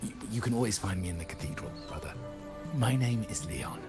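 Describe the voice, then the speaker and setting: A man's voice: low-pitched, animated, brisk. A man voicing an earnest, courteous brother of a religious order in a video game, speaking politely and formally. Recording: music behind the voice.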